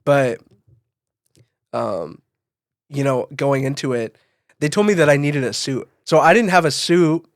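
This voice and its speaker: A man's voice: mid-range voice, unhurried, pauses often, no room sound, clean studio sound. A man co-hosting a podcast, telling stories in a casual, informal way and acting out bits of conversation. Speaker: podcast co-host, event photographer. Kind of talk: casual podcast chat, storytelling.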